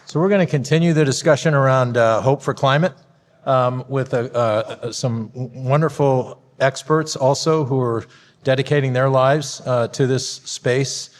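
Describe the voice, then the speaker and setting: A man moderating a conference panel, introducing the speakers with many halting fillers. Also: mid-range voice. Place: conference hall. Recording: reverberant hall.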